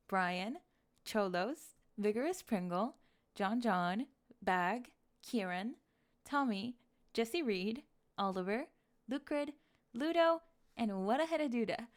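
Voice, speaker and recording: A woman hosting an ASMR channel, reading a scripted outro with announcements and shout-outs. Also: mid-range voice.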